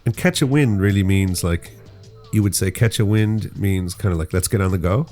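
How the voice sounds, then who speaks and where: Male podcast host, very low voice, chatty and rambling, faint music underneath. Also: normal speed, warm tone. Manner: rambling, jokey, explains slang. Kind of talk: casual chat.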